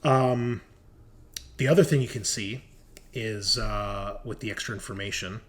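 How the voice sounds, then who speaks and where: A man's voice: on the low side, steady tone, unhurried. A man narrating a tabletop roleplaying game as game master in a conversational, casually sweary way.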